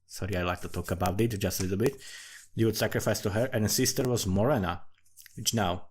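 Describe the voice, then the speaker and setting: Low-voiced man with a Slavic accent, rambling casually. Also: slight background noise. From Slavic country. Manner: informal, frequently swearing, halting non-native English phrasing. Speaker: amateur writer.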